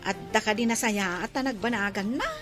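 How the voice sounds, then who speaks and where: A woman's voice: medium pitch, brisk, lightly acted. An actress playing a kindly, chatty female household servant with a Filipino accent, in radio comedy-drama style, with music behind. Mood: sympathetic.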